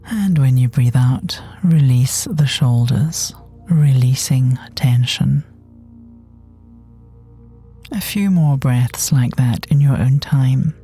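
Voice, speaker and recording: A woman with a soft, gentle, breathy voice that often nears a whisper, guiding a body scan meditation in a soothing way with long pauses. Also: a bass voice. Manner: soothing, unhurried, long pauses between phrases. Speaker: guided meditation teacher. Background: soft meditation music.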